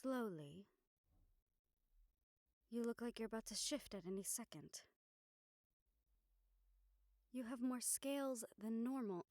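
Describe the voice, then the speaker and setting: Woman acting composed, gentle noblewoman, close and dry. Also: on the high side, lively intonation, dry recording. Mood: reassuring, dryly exasperated. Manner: measured, gentle, formal phrasing with deliberate pauses. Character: composed young noblewoman soothing her worried dragon bodyguard. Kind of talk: intimate audio roleplay acting.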